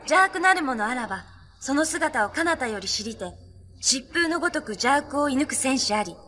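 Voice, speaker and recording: A woman's voice: high voice, animated, normal speed, sharp tone. A woman acting in a TV drama, reciting an ancient warrior prophecy in a solemn, portentous, incantatory way. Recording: noisy TV series clip with ambience.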